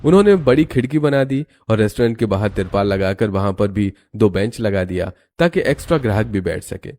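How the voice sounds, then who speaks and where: A man's voice: fairly low pitch, animated, brisk, darkish tone. A man narrating a scripted cartoon family story as its storyteller.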